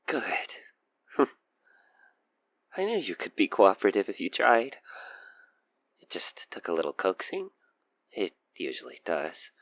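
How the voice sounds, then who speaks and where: A man playing an obsessed, manipulative killer who coaxes the listener in an intimate audio roleplay, with halting, breathy delivery that slips into whispers. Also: sits low, huge pitch swings, no echo, heavily acted. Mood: smug, taunting. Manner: hesitant, halting, breathy sighs and whispers sliding into menace. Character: obsessed male killer, coaxing and manipulative, feigning frightened innocence.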